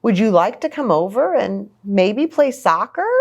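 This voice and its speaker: A woman's voice: medium pitch, very animated, unhurried, darkish tone. Woman voicing an eager, lilting young schoolgirl. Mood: eager, friendly.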